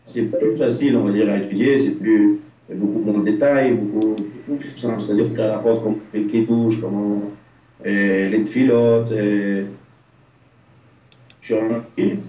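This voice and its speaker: A man's voice: on the low side, fairly even intonation, moderate pace, dark tone. A man, a rabbi giving an informal online religion lesson, hesitant, repeating himself and checking he is understood. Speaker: rabbi teaching a religious class. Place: remote video call. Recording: dull, roomy, distant online-call sound.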